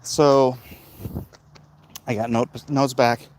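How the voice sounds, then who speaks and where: A man's voice: mid-range voice, flat delivery, extremely slow, a little mellow. A man talking casually and ramblingly with jokey asides, as a vlog host out on a walk. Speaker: vlog host, comic book creator. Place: outdoors on a street walk. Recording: outdoor street noise, passing vehicles.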